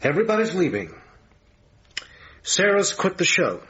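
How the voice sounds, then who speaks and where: Husky, bitter theatre manager, dull sound. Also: male voice, high voice, very animated, moderate pace, fairly bright tone, exaggerated voice. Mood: agitated, bitter. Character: bitter theatre manager, scornful, needling, self-pitying lover. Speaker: actor in a radio drama. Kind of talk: naturalistic radio drama acting.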